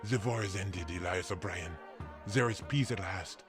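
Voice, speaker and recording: A man playing a kindly German village priest in a family audio drama, telling stories in a measured, fatherly way. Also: low voice, music in the background, lightly acted. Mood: solemn relief.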